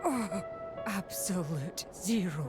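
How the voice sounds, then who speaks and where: A woman's voice: lowish voice, animated, slow. A woman voicing a spirited young heroine in a video game cutscene. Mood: alarmed. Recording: loud background music.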